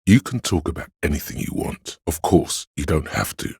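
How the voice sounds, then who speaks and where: Grave omniscient inner-voice narrator for a detective game: extremely low, heavily rasped, measured and literary, close dry sound. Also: male voice, big pitch swings, a little mellow, dry recording, full character voice. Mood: intimate, musing. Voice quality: very deep gravelly husky rasp.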